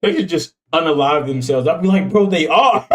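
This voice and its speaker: Laughing, animated young man streamer. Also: fairly high pitch, moderate pace. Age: 20s. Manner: loose, joking, laughs a lot, slangy. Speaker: streamer reacting to videos. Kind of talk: casual stream commentary.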